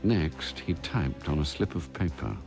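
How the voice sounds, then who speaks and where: Storyteller for a spy radio play, super low voice, matter of fact, music behind. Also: male voice, animated, a little slow, gravelly, heavily acted. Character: measured male storyteller narrating a spy thriller. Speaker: narrator. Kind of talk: scripted radio drama narration. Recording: narration over background music.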